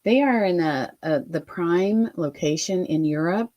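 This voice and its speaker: A woman in her fifties from Ohio, a guest telling her life story in an interview, animated and full of quoted asides. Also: fairly low pitch, measured pace, dull audio. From Ohio, USA.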